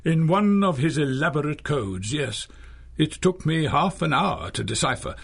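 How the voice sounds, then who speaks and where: An old masked magician, long retired from crime fighting, for a radio adventure. His voice is husky and a little high for a man. He speaks slowly and formally, with theatrical flourish and dry wit. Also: lively intonation, a bit bright, heavily acted. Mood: measured, reflective. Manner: theatrical, dryly witty, formal. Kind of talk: 1930s-style radio drama acting.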